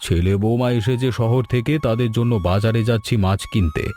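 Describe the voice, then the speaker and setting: A man's voice: medium pitch, normal speed, dark tone, soft background music, close to an everyday voice. A man playing a devoted, good-natured older village father in a cartoon story, plain-spoken and obliging. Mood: agreeable. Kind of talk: animated family story dialogue.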